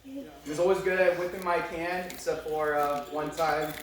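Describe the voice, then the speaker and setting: A man giving a wedding toast to his old friend, emotional and laughing, with a loud voice. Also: fairly high pitch, steady tone, moderate pace. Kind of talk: heartfelt toast. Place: wedding reception venue. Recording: distant mic in an echoey hall with loud crowd noise.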